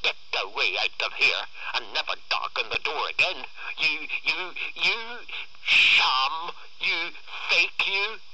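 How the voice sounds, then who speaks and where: A man's voice: a little high, takes it slowly, dry recording, voice pushed up, full character voice, low-quality recording. A man voicing a fierce, exasperated farm mother who snaps and scolds, in a storytelling reading. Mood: furious. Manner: sharp, snapping.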